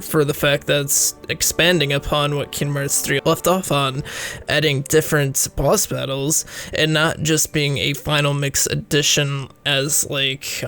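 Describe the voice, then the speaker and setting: Young male gaming creator, under the weather, fry in the voice, a bit higher than most men, rambling and laughing. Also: fairly bright tone. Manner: rambling, laughs often, self-deprecating asides. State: sick, voice rough. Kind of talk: casual opinion commentary.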